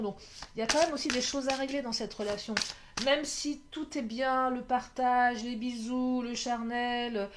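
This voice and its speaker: Brief: hoarse woman, fairly high voice, chatty card reader, faint hiss behind. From France. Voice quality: lively, chatty, laughs often, self-corrects. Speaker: tarot and oracle card reader. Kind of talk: casual card reading monologue to viewers. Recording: faint background noise.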